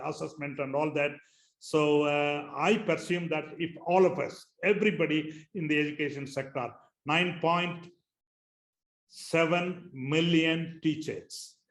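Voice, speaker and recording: Halting Indian man with a Tamil background. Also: fairly high pitch. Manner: halting, many pauses and fillers. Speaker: school founder, webinar speaker. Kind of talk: webinar presentation. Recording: echoey room on a webinar call.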